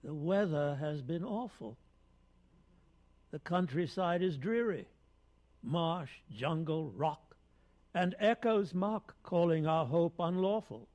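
A man in his seventies playing a weary old wise man in a wry, deliberate dramatic verse reading. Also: on the high side, a little mellow. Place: concert stage in a radio performance hall. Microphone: close studio mic on boom.

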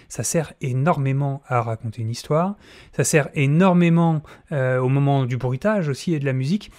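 A middle-aged man presents a tutorial in an informal way. His voice is fairly high for a man. He speaks close to a desk microphone at home. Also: a little mellow. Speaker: audio fiction tutorial host. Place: small room at home. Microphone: close desk microphone, wearing headphones.

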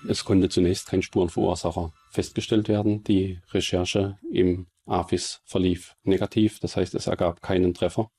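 A man's voice: deep voice, little pitch movement, normal speed, hardly any pauses, dark tone, breathy edge. A man explaining formally as a forensic police expert in a documentary interview, in dry official wording.

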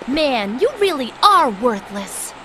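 A woman's voice: high-pitched, very animated, takes it slowly, bright, forceful tone, a little hiss or hum, full character voice, low-quality recording. A woman voicing a smug, mocking young female swallow mechanic for a video game cutscene, with game music behind. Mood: scornful. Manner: mocking, haughty.

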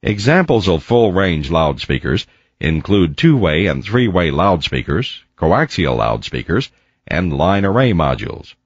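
Male instructional narrator, low register, measured and didactic, very dry voice-over with slightly muffled sound. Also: big pitch swings, breathy edge, no echo. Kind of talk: scripted educational narration. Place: close, dry voice-over recording.

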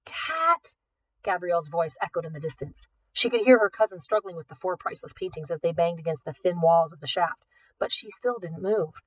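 A woman narrating a novel as an audiobook reader, with expressive delivery. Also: fairly low pitch, brisk, dry recording, distant mic, rough recording. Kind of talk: expressive scripted fiction narration.

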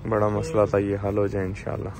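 A young man with a low, gravelly voice talks through a casual vlog in an easygoing, explaining way. He is on an outdoor city rooftop and films with a phone. Traffic and street noise are clearly heard behind him. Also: brisk. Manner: relaxed, explains while asking questions. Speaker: farm channel host.

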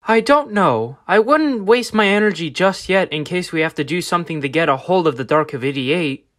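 A man's voice: on the high side, expressive, moderate pace, a bit bright. A man voicing an earnest toy race car talking with team-mates in an amateur fan dub. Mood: earnest, conversational.

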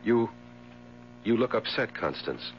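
An actor in a 1970s radio drama playing a tormented, brooding husband, speaking in a grave, formal, melodramatic way. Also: male voice, lowish voice, animated, unhurried. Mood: weary, grim. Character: tormented, brooding husband loathing his dying wife. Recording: muffled 1970s radio recording with hum.

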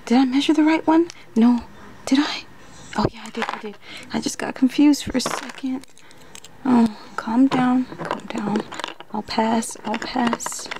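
Woman, ASMR roleplay as a nervous student: slow, gravelly fry, halting self-talk with whispered bits, noisy clicky recording. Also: on the high side, steady tone. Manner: hesitant, flustered, repeats words, often slipping into whispers. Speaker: ASMR roleplayer acting as an unprepared student taking a quiz. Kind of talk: ASMR roleplay, muttering to herself.

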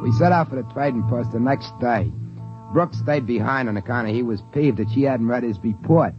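A man's voice: medium pitch, brisk, music in the background, natural voice. An actor playing an easygoing, plain-spoken trading-post man who narrates in a 1950s radio drama. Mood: wry narration. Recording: dull 1950s radio recording.

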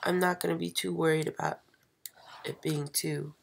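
A woman who is sick and losing her voice, hosting a live stream craft demo at a table and talking slowly with many fillers and pauses. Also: sits low, little pitch movement, gravelly, background noise. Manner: slow, hesitant, many fillers, soft. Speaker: craft channel host. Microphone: overhead camera, hands only.

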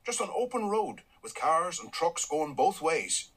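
A blunt middle-aged Ulster dairy farmer. His Northern Irish voice is bright and a little husky, and it rises and falls a lot as he makes wry, folksy jabs in this radio play. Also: a little high, big pitch swings, a little slow, exaggerated voice. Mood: wry, stubborn. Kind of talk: radio drama acting.

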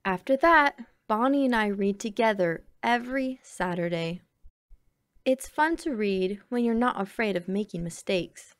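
A woman reading a children's picture book aloud with expressive, deliberate phrasing. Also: on the high side, no room sound, studio quality. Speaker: storybook reader.

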